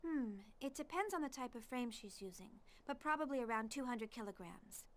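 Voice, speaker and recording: An actress playing an earnest, intelligent young scientist in an English-dubbed video game, speaking precisely. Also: female voice, fairly high pitch, animated, normal speed, close to an everyday voice. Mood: inquiring, technical.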